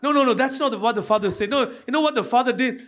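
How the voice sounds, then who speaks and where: A man's voice: extremely high pitch, fast, a bit bright. A man from Malaysia with a Malaysian accent preaching an impassioned church sermon, repeating phrases as he urges the congregation on. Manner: repetitive, exhorting, often addressing the congregation.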